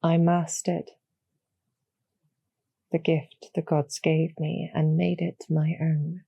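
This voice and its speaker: Woman voicing a slow, husky, creaky demoness. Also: deep voice, flat delivery, no room sound, dull audio, heavily acted. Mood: reflective, composed. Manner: measured, deliberate, softly persuasive. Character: calm, knowing demoness succubus archer, former hero, loyal to her queen. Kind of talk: slow, intimate audio roleplay monologue.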